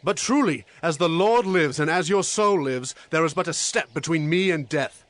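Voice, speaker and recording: An actor playing an earnest, pleading young fugitive in a dramatized scripture recording. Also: male voice, a little high, expressive, normal speed, fairly bright tone, no echo. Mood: urgent. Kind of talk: dramatized scripture audio acting.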